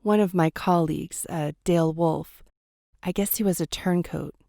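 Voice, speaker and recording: A woman's voice: fairly low pitch, normal speed, gravelly, dark tone, no echo, clean studio sound. A woman playing the earnest ghost of a wartime doctor in a radio drama, speaking frankly and conversationally. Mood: grave, confessional. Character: earnest female ghost of a Great War doctor.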